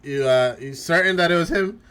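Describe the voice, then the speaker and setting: A man's voice: on the high side, a little fast, a bit bright, smooth. A man hosting a group voice-acting game stream, chatting casually with friends and reading out game dialogue.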